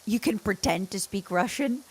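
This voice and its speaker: A woman's voice: mid-range voice, normal speed, darkish tone, husky. A woman livestreamer chatting casually as she games, rambling and playful as she talks to her viewers. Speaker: livestreamer, host. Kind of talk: casual chat while gaming, answering chat.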